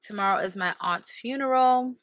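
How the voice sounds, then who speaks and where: A woman's voice: on the high side, normal speed, a bit bright. A woman in her thirties chatting casually to camera from a car's passenger seat, often correcting herself as she talks, recorded on a camera held at arm's length. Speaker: vlogger. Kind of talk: casual vlog chat to camera. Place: inside a car, passenger seat.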